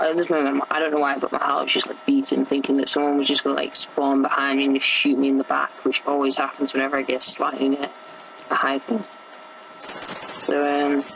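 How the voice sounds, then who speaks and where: A teenage boy with a sore, croaky throat, rambling casually through gameplay commentary with many fillers and restarts. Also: on the high side, a bit bright, a little hiss or hum, poor audio. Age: teens. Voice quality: gravelly.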